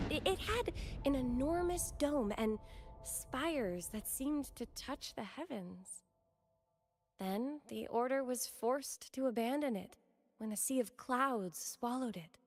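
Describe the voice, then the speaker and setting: An earnest young woman from a video game. Her voice is high and slightly hesitant, with music playing clearly behind it. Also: expressive, unhurried. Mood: wistful awe.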